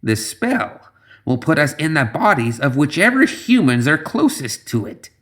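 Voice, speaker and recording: A man voicing a scheming swamp witch in a fantasy audiobook, with a slow, drawn-out, portentous delivery. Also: on the high side, sing-song, fairly bright tone, pitched above the performer's own voice. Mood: ominous, deliberate. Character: Zoraida, scheming deliberate swamp witch woman.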